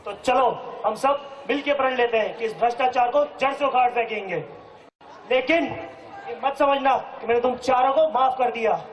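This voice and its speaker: Man acting high-pitched righteous crusading father onstage. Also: moderate pace, dull audio. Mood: stern, triumphant. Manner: declamatory, sarcastic, speechifying. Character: indignant righteous father turned anti-corruption ombudsman. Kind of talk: amateur stage play acting in Hindi. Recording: echoey live stage recording from hall.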